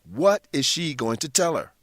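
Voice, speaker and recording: A man's voice: fairly high pitch, big pitch swings, moderate pace, background noise. A man narrating a radio drama for English learners in simple, scripted sentences. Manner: simple sentences with rhetorical questions.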